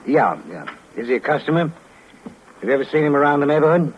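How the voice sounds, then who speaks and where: An actor playing a plain-spoken, businesslike police detective in a 1950s radio crime drama. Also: male voice, fairly high pitch, sing-song, brisk. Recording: dull, noisy old radio recording.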